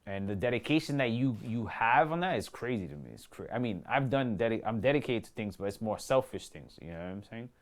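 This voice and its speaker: Male actor as interview guest, New York accent, mid pitch, loose street slang, conversational, circles back on his phrases. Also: expressive, a little fast, hardly any pauses. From New York City. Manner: slangy, repeats himself, acts out quoted remarks. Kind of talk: casual interview chat.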